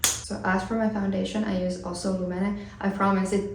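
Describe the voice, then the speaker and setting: A woman's voice: mid-range voice, level tone, brisk, far from the mic, noisy background. Chatty young woman from Finland, roomy sound. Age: 20s. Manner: casual, rambling, with frequent fillers and laughs. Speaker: vlogger. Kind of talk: chatty get-ready-with-me vlog.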